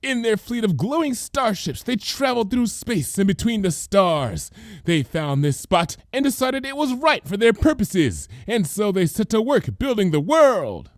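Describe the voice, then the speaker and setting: A man's voice: high voice, sing-song, a little slow, no echo, studio quality, pitched above the performer's own voice. A man voicing a theatrical, unhinged young female pony conspiracy zealot who proclaims everything dramatically. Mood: proclaiming.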